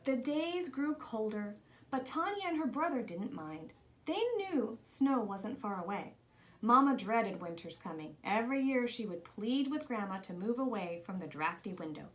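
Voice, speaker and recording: A woman reading a children's picture book aloud in an expressive storytelling style. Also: fairly high pitch, normal speed, a little hiss or hum. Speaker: storybook reader.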